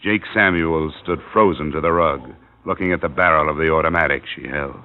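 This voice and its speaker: An actor playing a wry, tough insurance investigator in hard-boiled old radio style, deadpan and wisecracking. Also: male voice, a bass voice, fairly quick. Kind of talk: hard-boiled first-person radio narration and acting. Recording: tinny old radio recording.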